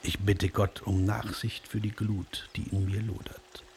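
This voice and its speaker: An actor playing an ageing, passionate, brooding poet in a lyrical, declamatory German radio drama. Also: male voice, fairly low pitch, level tone, darkish tone, slight background noise. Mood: yearning. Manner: declamatory, lyrical, drawn-out delivery. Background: music.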